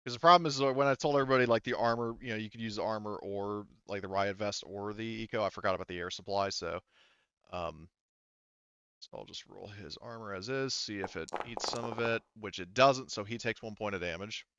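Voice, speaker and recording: Male game master, low smooth voice, casual and halting, thinking aloud; dry, slightly dull recording. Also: pushed and bright, no room sound. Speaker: game master of a tabletop roleplaying game. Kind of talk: casual unscripted game narration and rules talk.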